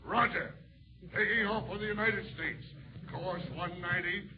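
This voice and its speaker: A man's voice: high voice, steady tone, moderate pace. A man playing a jolly old Santa announcing like a pilot in 1940s radio drama style. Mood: jolly, proclaiming. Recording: muffled 1940s radio with faint noise.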